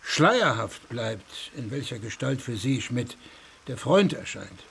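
An actor playing a blunt, skeptical surgeon who questions probingly. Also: male voice, medium pitch, big pitch swings, takes it slowly, creaky, fairly bright tone, a little hiss or hum, heavily acted. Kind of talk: radio play acting.

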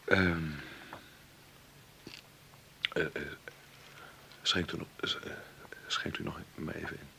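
Gentle filmmaker, low gravelly voice. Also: male voice, little pitch movement, takes it slowly, long pauses, in a whisper, exaggerated voice, low-quality recording. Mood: stunned, subdued. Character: Karel Vlenderberg, gentle mild filmmaker, newly rich heir. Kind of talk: Dutch radio play acting.

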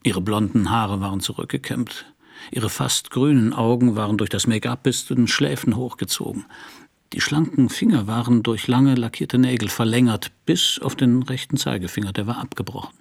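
A world-weary private eye in a radio crime drama. His voice is husky and full of gravel, and he tells his story in dry, sardonic, laconic phrases. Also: medium pitch, normal speed. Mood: admiring. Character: wry, hard-boiled male Paris private detective. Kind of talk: 1990s German radio crime drama, first-person narration.

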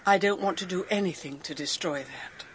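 An actress playing a proud, dutiful older British great-aunt with a brisk, no-nonsense manner, in a radio drama. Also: female voice, on the low side, a little hiss or hum, close to an everyday voice. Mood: grave, resolute. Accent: British English.

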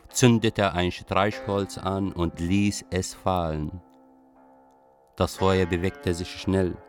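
A man playing a troubled young Iraqi refugee, narrating deliberately in a literary radio drama. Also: low voice.

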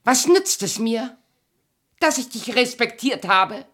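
A long-abandoned wife in a radio play, indignant and in command. Her voice sits fairly high, and she scolds bluntly with firm emphasis. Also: expressive, slow pace, fairly bright tone. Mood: angry, scolding. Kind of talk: German radio play acting.

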